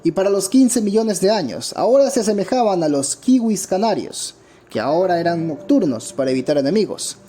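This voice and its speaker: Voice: mid-range voice, very animated, a little fast, dark tone, a little hiss or hum. A narrator giving a scripted documentary-style account with a dramatic, opinionated storytelling manner. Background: background music.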